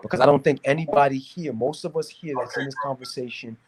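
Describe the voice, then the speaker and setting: A man from New City, talking casually and giving opinions in a halting way, repeating words as he searches for phrasing. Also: mid-range voice, crowd noise. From New York City, United States. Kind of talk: casual discussion, opinionated talk.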